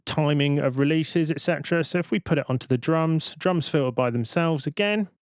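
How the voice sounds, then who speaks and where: A man giving an informal, step-by-step software demonstration as a tutorial presenter. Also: a little high, fairly quick, dry recording, clean studio sound, soft background music.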